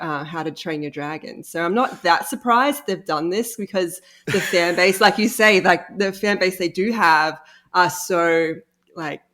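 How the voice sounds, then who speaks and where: A woman's voice: lowish voice, fairly bright tone. A woman chatting casually as a podcast guest in a jokey, informal way. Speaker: podcast guest, audio drama co-creator. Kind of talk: casual chat.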